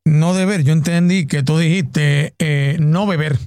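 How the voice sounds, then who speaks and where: A man's voice: a little high, steady tone, a little slow, darkish tone. A man in his forties, a radio host bantering casually in a studio, joking, apologizing and telling anecdotes. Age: 40s.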